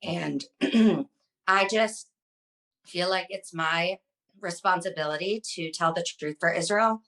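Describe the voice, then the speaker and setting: A woman's voice: on the low side, takes it slowly, fairly bright tone, poor audio. A woman in her thirties answering interview questions, passionate and fluent, with frequent ums. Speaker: guest, social media advocate.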